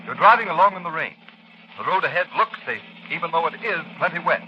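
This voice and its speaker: A man's voice: fairly high pitch, lively intonation, quick pace, slight background noise. A man announcing a scripted commercial in the style of 1930s radio. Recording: muffled 1930s radio recording.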